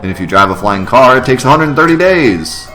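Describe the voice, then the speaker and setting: A man voicing an overzealous, corny space agency pitchman in a story video game, with an emphatic salesman's delivery. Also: lively intonation, pushed and bright. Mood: hyped, salesy. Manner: emphatic, booming salesman delivery with drawn-out hooks. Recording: music behind the voice.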